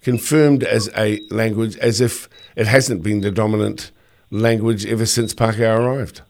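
A man hosts a talk radio interview in a slightly low voice. He asks probing questions in an easy, conversational way. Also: steady tone, moderate pace, creaky, darkish tone. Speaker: radio host.

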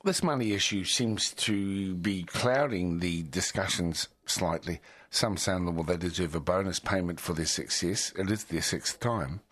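A man hosts a radio interview in a measured, slightly halting way. His voice sits very low. The sound is close and dry. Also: fairly bright tone, no echo. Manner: measured, hesitant questioning. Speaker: radio host.

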